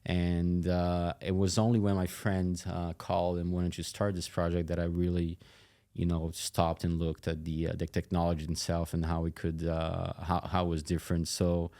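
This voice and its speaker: A man in his thirties giving casual interview answers as a tech executive, halting and thinking aloud as he goes. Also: low-pitched, completely flat delivery, mellow. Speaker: tech company chief executive and co-founder, podcast guest.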